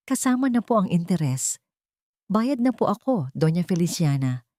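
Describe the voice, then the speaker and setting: A woman voicing a firm, dignified young mother in an audio story, speaking in a cold but polite, steady way. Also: fairly low pitch, moderate pace, no echo, clean studio sound.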